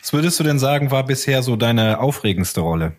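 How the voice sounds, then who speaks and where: A man with an average-pitched voice co-hosts a podcast. He asks questions and comments in a casual, conversational style. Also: animated, brisk. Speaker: podcast co-host, interviewer. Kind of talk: casual chat.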